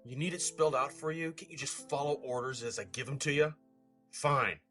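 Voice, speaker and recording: A man's voice: mid-range voice, brisk, a bit bright, faint music behind, natural voice. A man playing a short-tempered, foul-mouthed criminal father in an audio horror story, with a growling, contemptuous delivery. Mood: angry, contemptuous.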